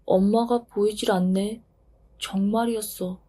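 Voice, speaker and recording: A woman's voice: medium pitch, steady tone, unhurried, warm tone, slightly rough. A woman voicing a timid, childlike dandelion seed that has just woken up, speaking in a small pleading voice. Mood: wistful.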